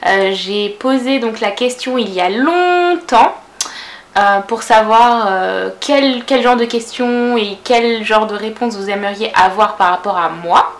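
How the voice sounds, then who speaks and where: A woman's voice: a little high, roomy sound. A woman in her twenties from France with a Parisian French accent, answering viewer questions in a casual vlog, chatty, with lots of fillers and self-corrections. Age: 20s. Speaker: vlogger.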